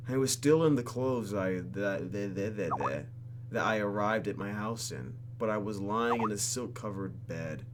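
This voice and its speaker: Theatrical, very animated low-voiced male gamer. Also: noisy background. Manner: theatrical, exaggerated reactions. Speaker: YouTube gamer. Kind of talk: let's play reading visual novel text aloud with reactions.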